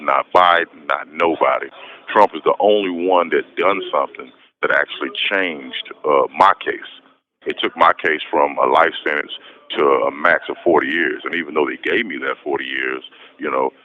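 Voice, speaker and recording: A man's voice: very deep voice, normal speed. An American man giving a casual personal account over a muffled, noisy phone line. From west Texas, United States. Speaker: interview guest, prisoner calling in. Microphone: telephone call from prison.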